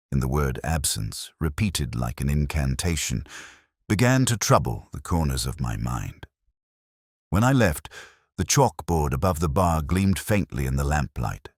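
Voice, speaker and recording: A man playing a brooding, erudite middle-aged detective, narrating an audio drama in measured, reflective, literary phrases. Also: extremely low pitch, very animated, breathy edge, no echo, clean studio sound, full character voice. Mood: brooding, wistful. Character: brooding, erudite male English police detective, melancholy, observant.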